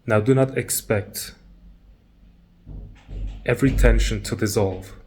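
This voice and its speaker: A man leading a guided meditation talk, calm and measured, pausing between short phrases. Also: lowish voice, a little hiss or hum.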